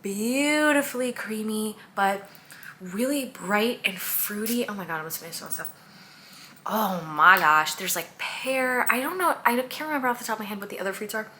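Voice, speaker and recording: A woman's voice: mid-range voice, moderate pace, sharp tone, slight background noise. A woman chatting casually about perfumes she bought, gushing and listing scent notes with lots of fillers. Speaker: perfume content creator. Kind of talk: casual haul review.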